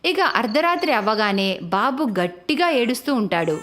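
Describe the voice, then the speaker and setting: A woman's voice: on the high side, sing-song, normal speed, a bit bright, slight background noise. A woman reading scripted narration for a moral story as its narrator.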